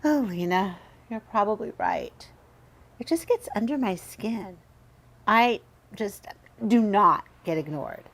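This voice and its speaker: A woman's voice: medium pitch, expressive, slow. A woman playing a vain, sulky seductive spirit in a radio horror drama, lamenting with a pout. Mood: wistful.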